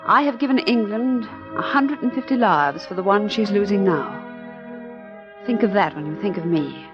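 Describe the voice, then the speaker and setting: Lady doing a selfless condemned heroine saying goodbye, steady resolve, muffled 1940s radio, music under it. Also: mid-range voice, normal speed, close to an everyday voice. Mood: resolute farewell. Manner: earnest, resolute. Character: brave, selfless condemned woman saying farewell. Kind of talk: 1940s radio drama monologue. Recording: dull old radio recording. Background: clear music.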